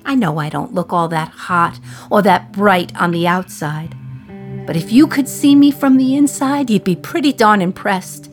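A woman's voice: mid-range voice, normal speed, faint music behind, natural voice. An actress playing a sardonic, resentful jailed woman in her thirties from 1950s New York, confiding her memories in a radio play monologue. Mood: proud, confiding.

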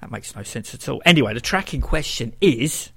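Male radio host, mid-pitched, informal music presenter who drops in offhand asides. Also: lively intonation, brisk, creaky, heavy background noise. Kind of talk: casual music show presenting.

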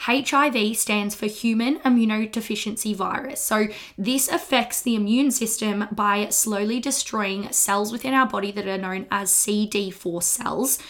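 Young Australian woman host, a touch husky, bright and chatty, frank explainer tone. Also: medium pitch, moderate pace, hardly any pauses. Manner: chatty, frank, laughs between points. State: slightly husky from a recent illness. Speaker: podcast host and health teacher. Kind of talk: casual educational monologue.

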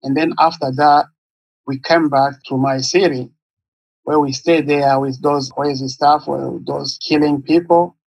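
Husky-voiced man from Congo telling his story. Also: on the high side, steady tone, dry recording, lo-fi sound. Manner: halting, searching for words, non-native English phrasing. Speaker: guest, nonprofit founder. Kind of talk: interview, personal story.

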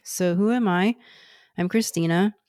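A woman in her thirties from Houston, whose first language is Cantonese, reading a scripted podcast introduction as host in a measured, earnest way. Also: fairly low pitch, a little mellow, no room sound, clean studio sound. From Houston, Texas, USA. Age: 30s.

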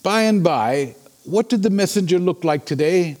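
A man playing a sober, concerned Victorian gentleman in a period drama, speaking in a formal, measured, inquiring way. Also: high voice, lively intonation. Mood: polite, composed. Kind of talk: period audio drama acting.

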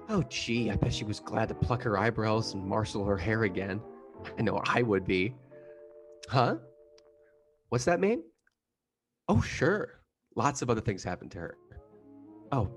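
A man's voice: mid-range voice, close to an everyday voice. A man playing a chatty, gushing young female telephone operator in an old-time radio play. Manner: gushing, gossipy. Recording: music behind the voice.

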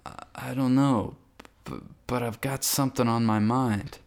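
A man's voice: medium pitch, fairly even intonation, measured pace, creaky. A man playing a brooding, hypnotized man in a radio drama, delivering reflective monologues in an earnest, subdued way. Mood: pensive, subdued.

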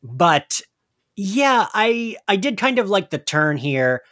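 A man's voice: high voice, big pitch swings, slow, fairly bright tone. A man co-hosting a podcast, chatting casually about an audio drama with lots of "like" and trailing asides. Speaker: podcast co-host.

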